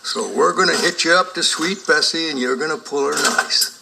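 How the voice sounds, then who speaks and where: A man playing a gruff, stern old race car who judges, blunt and folksy in a storybook recording. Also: on the high side, moderate pace, very noisy. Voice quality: gravelly. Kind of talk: storybook character acting. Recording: loud music behind the voice.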